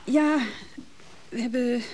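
An actress playing an anxious, flustered woman who speaks haltingly in a naturalistic radio drama. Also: fairly high pitch, fairly even intonation, warm tone. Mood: anxious, sad. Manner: halting, stammering. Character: anxious, flustered woman who has left her lover.

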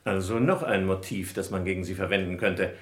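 An actor playing an exacting defence lawyer in a vintage radio crime drama, formal and full of probing questions. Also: male voice, deep voice, fairly quick, lightly acted. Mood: insistent, probing. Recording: roomy old radio play recording.

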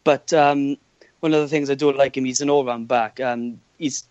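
A Welsh man talks through his scouting views at a mid pitch, measured and a little hesitant, restarting his sentences. The sound is very dry and dull, with a lo-fi edge. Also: steady tone, darkish tone, dry recording. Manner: measured, hesitant, starts over. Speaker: scouting guest. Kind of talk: casual podcast chat.

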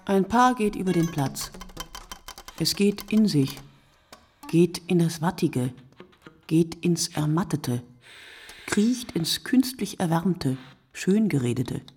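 A woman playing a reflective narrator in a literary radio play, with a husky voice and deliberate, fragmented, poetic phrasing that dwells on single words. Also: fairly low pitch, expressive, a little mellow, soft background music. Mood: incantatory, wry. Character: reflective woman narrator musing poetically on night-time drinkers.

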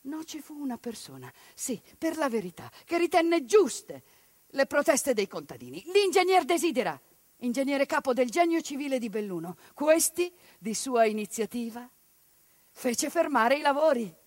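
A woman performing a one-woman theatre monologue, speaking with passion and swinging between hushed lines and forceful ones. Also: sits high, very animated, moderate pace, no echo.

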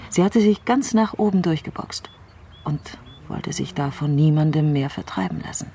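An actress playing a troubled, brooding politician in a crime radio play, speaking reflectively and intensely, sometimes halting. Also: female voice, deep voice, dark tone, slightly rough. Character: troubled, brooding female politician doubting the official truth. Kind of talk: dramatic radio play acting.

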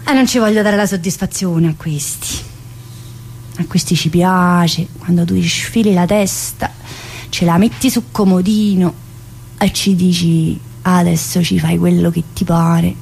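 An actress playing an earthy, wisecracking woman in prison, chatty and crude and swinging from loud outbursts to low muttering. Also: steady tone, unhurried, creaky, a little hiss or hum. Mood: bitter. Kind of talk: stage monologue.

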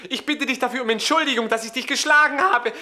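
An actor playing an arrogant, cowardly young Roman gentleman with a haughty, theatrical manner in a German radio drama. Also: very high-pitched, fast, full character voice. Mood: panicked, pleading. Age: young adult.

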